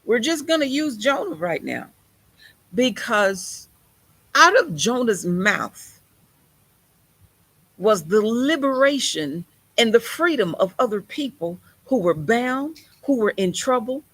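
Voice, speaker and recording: A woman's voice: fairly high pitch, expressive, a little slow, fairly bright tone, breathy edge, no echo. A woman preaching a sermon in an emphatic, conversational way, with folksy turns of phrase.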